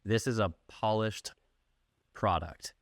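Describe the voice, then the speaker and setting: A man's voice: on the low side, slow pace, dry recording, clean studio sound. A man hosting a podcast, talking in a casual conversational interview style. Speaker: podcast host.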